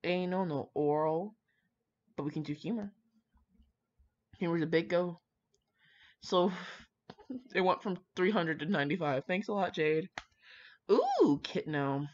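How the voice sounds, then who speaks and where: A woman's voice: fairly low pitch, animated, measured pace, long pauses, a little mellow, lo-fi sound. A young woman chatting casually and rambling to her viewers in an irreverent, foul-mouthed, self-mocking manner. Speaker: host of a fan fiction reading channel.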